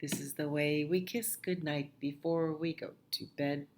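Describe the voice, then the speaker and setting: A woman hosting a storytime for young children, reading aloud and reciting rhymes in a gentle sing-song way, often dropping to a hush. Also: low voice, steady tone, a little slow. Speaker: children's librarian and storytime host.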